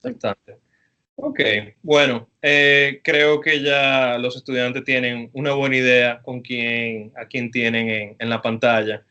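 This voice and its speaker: A male professor leads a class interview over video. His voice is bright and forceful, and he stays friendly and measured, with frequent pauses and fillers as he moves between questions. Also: medium pitch, flat delivery, smooth, highs cut off.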